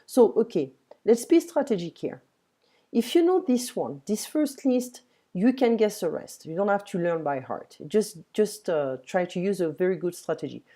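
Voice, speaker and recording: Slow, mellow tutor woman. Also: medium pitch. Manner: patient, explains in English, models French words slowly. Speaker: French tutor. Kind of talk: one-on-one language lesson, explaining grammar.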